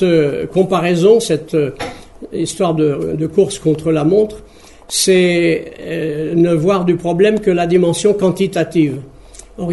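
A man from France giving a measured, reflective public talk to an audience. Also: a little high, dark tone. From France, Paris suburbs. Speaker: speaker giving an evening talk. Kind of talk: public talk on bilingual education.

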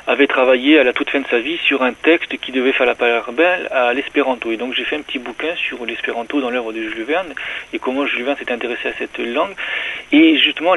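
A man's voice: mid-range voice, gravelly. A man speaking as an interviewed academic and teacher, explaining his views in an articulate, didactic way with emphatic repetition. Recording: dull lo-fi radio sound, faint noise.

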